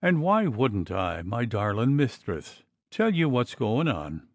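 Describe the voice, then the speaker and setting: A man's voice: medium pitch, very animated, takes it slowly, dry recording. A man reading an audiobook, voicing a gossipy maidservant in a gushing, dramatic manner. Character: gossipy Irish maidservant, woman.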